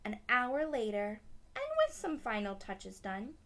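A woman's voice: on the high side, lively intonation, a little slow, fairly bright tone. A woman in her twenties reading a storybook aloud expressively, with asides to young children. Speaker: children's read-aloud host. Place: small decorated room indoors.

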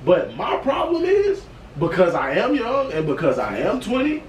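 A twenty-year-old man with a high, very lively voice argues casually and emphatically with friends, circling back on his phrases. He is picked up from a distance on a patio, with a roomy sound and faint noise behind him. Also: moderate pace. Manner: emphatic, repetitive, slangy. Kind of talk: casual animated debate among friends. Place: outdoor patio at night. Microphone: far camera.

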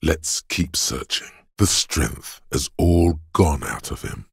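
Grim inner body voice from a video game, super raspy, slow and ominous, close dry sound. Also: male voice, dry recording, heavily acted. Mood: gruff, judging. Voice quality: raspy, gravelly, very deep. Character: grim, gravelly inner voice of a body's endurance. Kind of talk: scripted second-person game narration.

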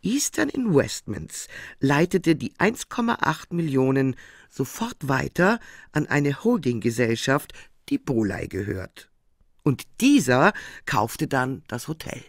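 A man's voice: medium pitch, very animated, slow pace, no room sound, clean studio sound, full character voice. An actor playing a matter-of-fact policeman explaining a case in a crime radio drama. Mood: explanatory.

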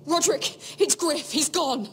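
High-voiced, anguished noblewoman. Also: measured pace. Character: anguished, pleading noblewoman of a castle. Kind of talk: dramatic video game acting.

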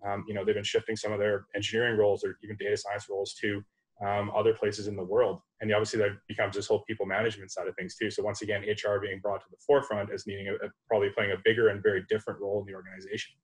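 A man in his thirties speaks on a laptop video call from home. His voice sits somewhat low and the words tumble out quickly. He thinks aloud as a panelist, restarting sentences and filling gaps with ums. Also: little pitch movement, poor audio. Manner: thoughtful, many fillers and restarts. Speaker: panelist, startup executive. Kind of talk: online panel discussion. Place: home room, indoors. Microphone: laptop webcam video call.

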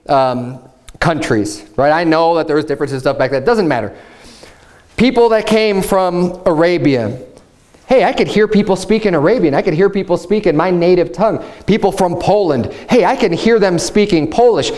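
A man in his thirties preaching a Baptist sermon at a pulpit, reading scripture slowly and rising to emphatic highs as he explains it. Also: darkish tone. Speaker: pastor. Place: church room, standing at a pulpit.

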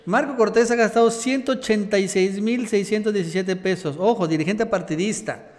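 The high-pitched Mexican male host of an online politics show, ribbing his guest in street slang from a studio that rings with reverb. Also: normal speed. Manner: teasing, colloquial. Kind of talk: informal political talk show. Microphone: desktop microphone in front of him.

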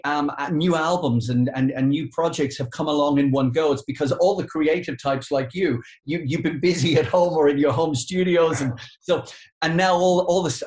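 British male radio interviewer, fifties, fairly high register, chatty delivery, markedly echoey living-room call. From United Kingdom. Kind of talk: conversational interviewing. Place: living room on a sofa, indoors.

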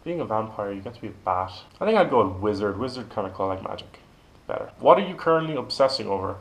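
A young man whose Cork Irish accent is shaded with British and American tones talks through a list of questions in a relaxed, rambling way. He sounds like he is sitting close to a camera mic in his kitchen at home. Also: on the low side, quick pace, rough recording. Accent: Cork Irish, mixed with British and American. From Ireland. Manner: relaxed and rambling, reads words out one by one. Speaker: vlogger. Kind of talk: casual chat answering a question list. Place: kitchen at home, indoors, small.

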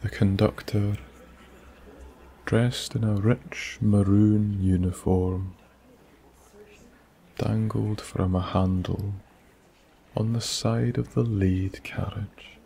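A man with a Scottish accent narrating a soft-spoken bedtime story in a slow, hushed, soothing way that drifts into whispers. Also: lowish voice, flat delivery, vocal fry, poor audio.

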